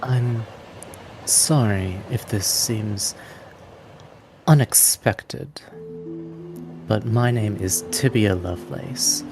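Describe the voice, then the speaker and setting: A man voicing a courteous, formal woman paladin in a tabletop game, measured and insistent. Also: fairly low pitch, a little mellow, faint music behind. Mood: formal, earnest.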